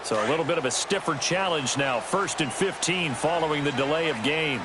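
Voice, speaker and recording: A man's voice: fairly high pitch, a little fast, natural voice. An American man doing play-by-play commentary for an American football game. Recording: loud stadium noise behind the voice. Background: stadium ambience from a football video game.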